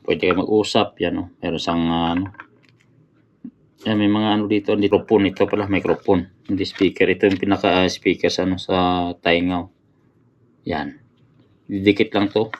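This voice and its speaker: A man's voice: sits low, lo-fi sound, a little hiss or hum. A man giving casual unboxing commentary as a motorcycle vlogger, often hesitating to find words and addressing his viewers.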